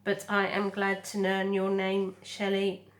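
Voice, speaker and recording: Woman as a slow, measured robot mother. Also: mid-range voice, completely flat delivery, lightly acted. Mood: polite, friendly. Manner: measured, formal, literal. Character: gentle female robot mother, calm and caring.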